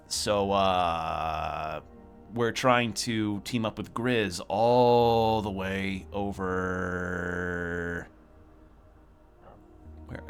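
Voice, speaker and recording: Low-voiced young man streaming, music behind. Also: fairly bright tone. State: slightly sniffly from a cold room. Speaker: game streamer. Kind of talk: casual livestream chat over gameplay. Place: own room at home.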